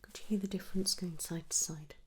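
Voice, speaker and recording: Woman, breathy whisper, lowish pitch, gentle reassuring ASMR clinician, close mic, noisy background. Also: fairly even intonation, fast talker. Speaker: ASMR performer playing an audiologist. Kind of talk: ASMR medical roleplay, softly instructing a patient.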